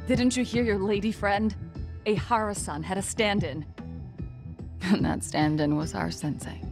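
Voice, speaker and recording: A woman's voice: medium pitch, steady tone, measured pace, creaky, music in the background. A woman playing a sullen, flippant young accomplice in an English-dubbed crime game, with a dismissive, reluctant manner. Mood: smug, flippant.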